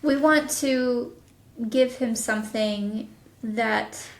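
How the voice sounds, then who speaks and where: A woman speaking earnestly and casually as an interviewed producer, in a measured way. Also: a little high. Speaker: executive producer being interviewed. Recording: roomy echo.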